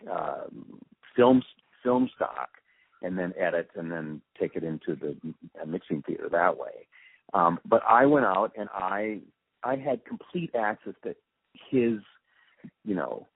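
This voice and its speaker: A man reminiscing in an interview, telling his stories in an emphatic, animated way. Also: low voice, slightly rough, no echo. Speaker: interviewee, radio drama post-production supervisor. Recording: muffled phone line, dry.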